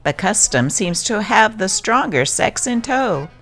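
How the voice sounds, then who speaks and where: A woman's voice: medium pitch, sing-song, a little slow, a bit bright, soft background music. A woman narrator giving a scripted reading of old newspaper stories.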